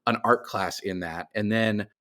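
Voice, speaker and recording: A man's voice: lowish voice, fairly even intonation, measured pace, fairly bright tone. An American man in his forties telling stories casually as an interview guest, in a rambling, self-deprecating way. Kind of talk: casual conversational storytelling.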